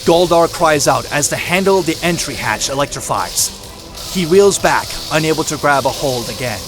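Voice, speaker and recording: Fairly high-voiced man narrating over music. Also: expressive, normal speed. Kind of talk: dramatic scripted narration.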